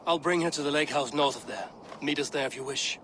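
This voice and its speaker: A woman playing a steadfast, commanding Viking warrior in a historical game, speaking in measured, blunt, formal phrases. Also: sits low, a bit bright, slight background noise. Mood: calm, blunt. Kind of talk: dramatic period game acting.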